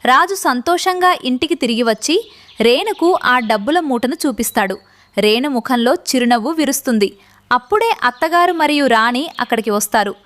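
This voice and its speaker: A woman's voice: high voice, expressive, moderate pace, a bit bright, no echo. A woman narrating a scripted children's moral story.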